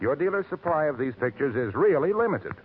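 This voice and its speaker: A man's voice: a little high, big pitch swings, a little fast, slight background noise. An American man delivering a 1940s radio announcement in a selling style. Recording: narrow-band 1940s radio, music behind.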